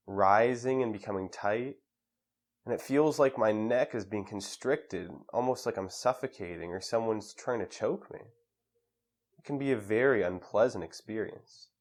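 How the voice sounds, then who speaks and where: A man leading a guided meditation in a calm, measured way with pauses between phrases. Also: lowish voice.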